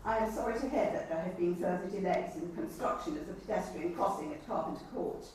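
An English woman speaking formally and deliberately as a councillor at a meeting, often reading from documents. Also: fairly low pitch, darkish tone. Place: indoor council meeting room. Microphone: distant camera microphone. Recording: very echoey room, distant mic, faint noise.

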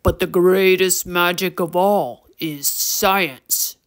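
A woman voicing a mischievous male troll in a children's storybook, with a deep gravelly voice and slow, theatrical delivery. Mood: teasing, boastful. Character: mischievous gruff old male forest troll.

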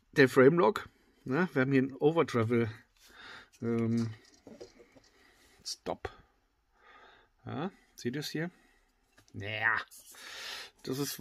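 A middle-aged man with a slightly congested voice, reviewing a knife in a casual, filler-heavy way that often drops to excited whispers. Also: mid-range voice, no room sound. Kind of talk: casual tabletop product review. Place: indoors at a wooden table.

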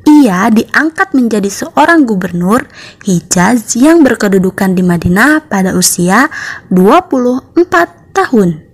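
A woman's voice: mid-range voice, sing-song, measured pace, slightly rough. Young lady teacher reading out a lesson step by step, bit of husk in the voice. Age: young adult. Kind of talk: scripted lesson narration for schoolchildren.

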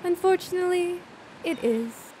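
Smug, worldly genie played by a woman, high voice, calm and formally teasing, for audio theater. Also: normal speed, slight background noise, rough recording. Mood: serene, teasing.

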